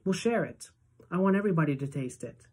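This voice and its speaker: A reader voicing a polite young boy in a storybook, speaking softly and earnestly. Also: mid-range voice, expressive, a little fast, husky. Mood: generous. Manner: soft, earnest.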